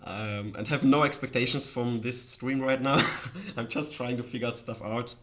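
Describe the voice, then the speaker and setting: A man from Austria with an Austrian accent and German as his first language, chatting casually on a livestream in a hesitant, halting way. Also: medium pitch, fairly bright tone. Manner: hesitant, halting, chuckles often. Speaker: live streamer, host.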